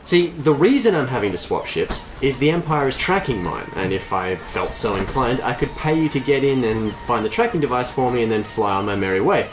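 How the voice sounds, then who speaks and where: A man's voice: medium pitch, very animated, fairly quick, hardly any pauses, soft background music, natural voice, rough recording. A man playing a cocky, wisecracking smuggler pilot in an audio drama, glib and self-assured. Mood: confident pitch.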